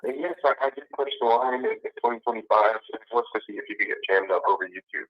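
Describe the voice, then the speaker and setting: A man calling from jail over a muffled phone line, chatting in a halting, repetitive, rambling way. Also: mid-range voice, no echo. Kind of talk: casual phone conversation. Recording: dull, band-limited jail phone line.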